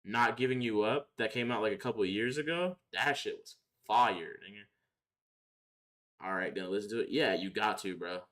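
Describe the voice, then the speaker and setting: A man's voice: on the low side, moderate pace, fairly bright tone. A young man chatting casually on a livestream, answering viewers in slangy, profane, opinionated talk. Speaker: live streamer.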